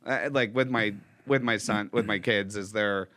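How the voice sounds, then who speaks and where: A man in his thirties with a fairly low voice talks casually as a pastor. He speaks in an easygoing, agreeable way, and his talk is full of fillers and friendly asides. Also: moderate pace, bright, forceful tone. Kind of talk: casual chat.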